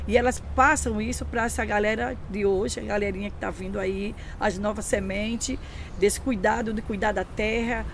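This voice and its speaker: A woman educator describes her community collective, earnestly running through its workshops in casual speech. Her voice is a little high, with faint noise in the background. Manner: earnest, lists activities, casual grammar. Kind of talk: interview explanation.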